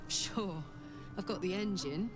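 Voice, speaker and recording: A woman plays a battle-hardened female barbarian from a fantasy video game. She is plain-spoken and wry, with a warm heart under the toughness. Her voice sits at a middle pitch, with prominent music playing behind it. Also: slow, lightly acted. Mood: wry, bitter. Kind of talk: video game character dialogue.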